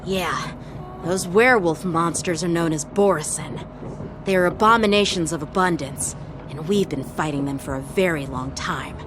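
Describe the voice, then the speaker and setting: A man's voice: fairly high pitch, background noise, natural voice. Earnest, formal young lieutenant, acted by a man. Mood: earnest, explanatory. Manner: formal, measured, authoritative. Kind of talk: English video game voice acting. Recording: music behind the voice. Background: game music.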